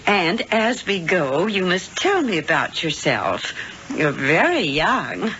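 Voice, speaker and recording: A genteel wife with a scheming streak and a coaxing, motherly politeness. Her voice is bright and swoops expressively. It is 1970s radio drama, on a dull tape with faint noise. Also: fairly low pitch, very animated, slow pace, heavily acted. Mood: sweetly welcoming. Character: genteel, prim, scheming wife posing as sweet landlady.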